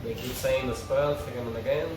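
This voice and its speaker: A man's voice: medium pitch, fairly even intonation, brisk. A man reading stage directions aloud as narrator at an informal script table read. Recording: echoey, distant mic, clear background noise.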